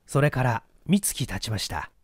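A man narrating a literary mystery as a dramatic, measured audiobook storyteller. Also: medium pitch, sing-song, breathy edge, no room sound, clean studio sound. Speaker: audiobook reader, stage performer.

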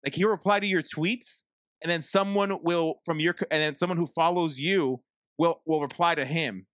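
A man's voice: on the high side, fairly even intonation, moderate pace, a bit bright, no room sound, studio quality. A man chatting casually as a guest on a livestream. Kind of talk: casual chat.

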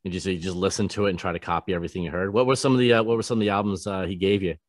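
A man in his forties, a radio host casually interviewing a musician over a video call, with halting questions full of fillers. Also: low voice, steady tone, no echo, clean studio sound. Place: home studio, posters on wall. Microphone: large studio microphone, webcam.

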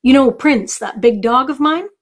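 Woman as storybook country uncle, earnest, emphatic, lifted higher-pitched voice. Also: normal speed.

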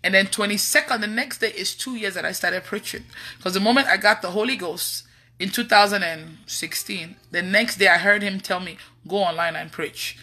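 A woman in her thirties with a Nigerian accent, preaching casually online in an animated, emphatic way and repeating phrases. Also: mid-range voice, moderate pace. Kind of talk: casual testimony-style preaching on a livestream. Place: indoors in a room at home.

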